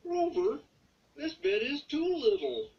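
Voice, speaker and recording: A woman's voice: sing-song, slow pace, exaggerated voice. A woman voicing a tired, apologetic childlike male puppet character from a storybook, in a simple plaintive way. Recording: distant, dull low-fidelity home recording.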